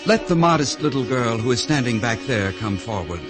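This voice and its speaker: An actor playing a gallant, tender storybook prince with formal, romantic speech, in a 1950s radio play. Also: male voice, mid-range voice, lively intonation, a little fast, natural voice. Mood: tender, solemn. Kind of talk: 1950s radio fairy-tale acting. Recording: vintage radio recording, music behind.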